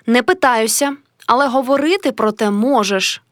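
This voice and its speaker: A woman's voice: on the high side, normal speed, a bit bright, dry recording, studio quality, lightly acted. A woman voicing a passionate, proud young female artist with an intense, dramatic delivery, as in an audiobook story. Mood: scornful, accusing.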